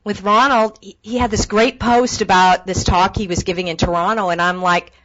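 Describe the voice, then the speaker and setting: A woman organizing a tech meetup, introducing a guest speaker with an animated, enthusiastic manner. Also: mid-range voice, normal speed. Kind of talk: casual introduction. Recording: dull lo-fi audio.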